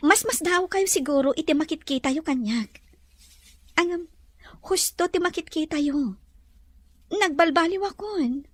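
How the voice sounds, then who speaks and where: A woman as a high-voiced, gentle young lady. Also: measured pace, pauses often, dry recording. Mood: apologetic. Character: gentle, repentant young lady of the mansion, anxious bride-to-be. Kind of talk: audio drama acting.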